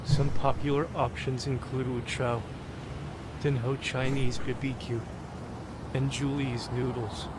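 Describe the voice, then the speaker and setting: A synthetic male voice as a polite, evasive AI game character, giving measured, formal, diplomatic answers. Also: mid-range voice, lightly acted. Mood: polite, helpful. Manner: even, diplomatic, stilted. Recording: noisy game city street, traffic ambience.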